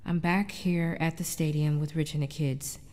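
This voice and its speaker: A woman's voice: low voice, steady tone, normal speed, a little mellow, close to an everyday voice. A woman playing an anguished but determined wife and mother in an audio drama, narrating in a confiding, reflective way. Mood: uneasy, focused. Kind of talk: first-person dramatic narration.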